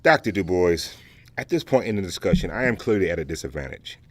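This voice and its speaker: An actor playing a dignified, proud Southern educator and orator in an audio play, speaking in a formal, measured, courteous way. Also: male voice, low voice, expressive. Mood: earnest, firm. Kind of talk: dramatic audio play dialogue.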